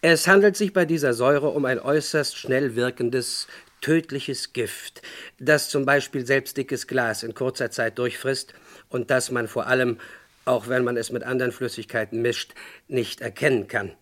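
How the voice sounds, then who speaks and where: A man playing a factory manager in a vintage crime radio drama. He has a medium-pitched voice and explains himself firmly and courteously, with formal, exact wording. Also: normal speed, a bit bright, lightly acted. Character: firm, courteous male watch-factory manager under questioning. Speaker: actor in a crime radio play. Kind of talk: German crime radio drama acting, 1950s to 80s.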